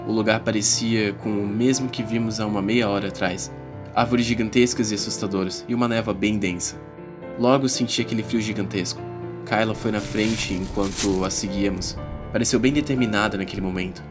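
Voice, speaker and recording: A man's voice: on the low side, fairly even intonation, a little fast, over music, lightly acted. A Brazilian man playing a teenage schoolboy who narrates an uneasy fantasy adventure in a dramatized audiobook. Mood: narrating. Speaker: first-person narrator.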